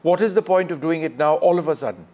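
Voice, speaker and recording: A man moderating a panel debate, putting brisk, probing questions to the guests. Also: a little high, darkish tone.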